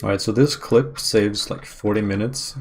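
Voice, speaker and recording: Monotone low-voiced gravelly man. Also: normal speed. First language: not English. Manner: monotone, rambling, self-correcting. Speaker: speedrun streamer. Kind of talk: casual live-stream commentary. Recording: game music playing behind.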